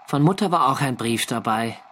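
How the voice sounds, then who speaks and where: An actor playing a wartime sailor narrating his diary in a measured, dryly wry way for a radio drama. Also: medium pitch, lively intonation, noisy background, lightly acted. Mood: reflective.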